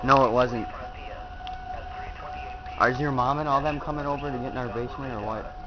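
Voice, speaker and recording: A man's voice: mid-range voice, steady tone, normal speed. A man chatting casually with people around him at home. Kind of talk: casual chat. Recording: muffled home-video audio with background noise.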